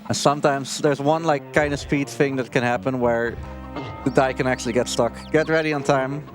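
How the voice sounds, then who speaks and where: A man's voice: medium pitch, steady tone, moderate pace. A man giving casual live commentary as a speedrunner playing a game. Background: game music.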